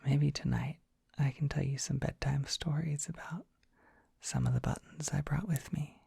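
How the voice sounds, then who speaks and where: A performer voicing a gentle, shy young dragon boy in an ASMR comfort roleplay, speaking in a soft breathy whisper with a hesitant, tender, soothing manner. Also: medium pitch, fairly even intonation, moderate pace, dry recording, studio quality, heavily acted.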